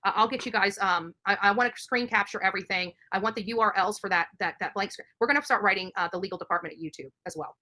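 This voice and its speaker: A woman's voice: medium pitch, quick pace, few pauses, bright, forceful tone. A woman live streamer ranting emphatically and indignantly, repeating herself for emphasis. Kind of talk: impassioned live stream rant.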